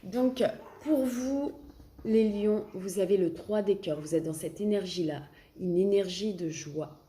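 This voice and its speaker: A woman's voice: mid-range voice, measured pace, dark tone. A woman with a French accent and a cold, giving a casual tarot reading, often hesitating and searching for words. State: has a cold. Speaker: tarot card reader. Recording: echoey room, faint background noise.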